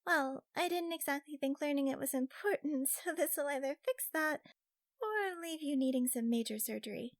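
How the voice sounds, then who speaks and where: A woman's voice: sits high, expressive, normal speed. Perky, teasing young fox girl, acted by a woman. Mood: playful, reassuring. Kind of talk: audiobook character acting.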